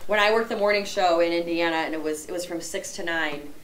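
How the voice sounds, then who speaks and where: A woman's voice: on the low side, a bit bright, a little hiss or hum, low-quality recording. Woman, Chicago accent, chatty radio co-host. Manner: chatty, quick to agree. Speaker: radio show co-host, former TV news anchor. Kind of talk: casual radio talk show chat.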